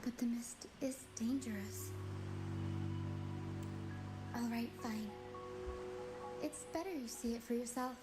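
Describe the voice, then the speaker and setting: A woman's voice: on the high side, takes it slowly, long pauses, rough recording. A woman playing a friendly, caring young female performer in a video game, earnest and storyteller-like with playful flourishes. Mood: resolute, caring. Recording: music behind the voice.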